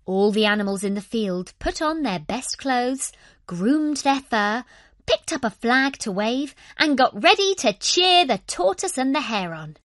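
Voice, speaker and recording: Woman, children's story narration, very lively intonation, expressive, deliberate, dry close sound. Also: on the high side, dry recording.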